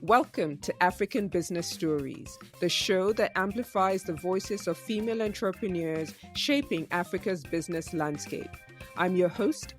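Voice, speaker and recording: A woman hosting a business interview podcast, reading a measured scripted intro and then chatting in a friendly, easy way with her guest. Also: lowish voice. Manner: measured, friendly questioning with light laughs. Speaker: podcast host. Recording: dry close sound with faint music under intro.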